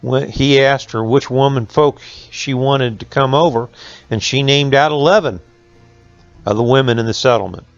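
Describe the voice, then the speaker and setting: A man's voice: mid-range voice, moderate pace, faint music behind. An older man reading a folk tale aloud as a storyteller, with folksy rural phrasing. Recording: echoey room, slightly dull sound.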